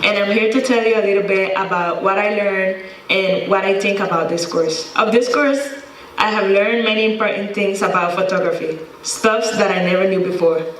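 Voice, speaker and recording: A woman's voice: medium pitch, moderate pace. A young woman from Costa Rica with a Costa Rican accent, a native Spanish speaker, giving a prepared student presentation. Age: young adult. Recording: echoey room, slightly distant mic.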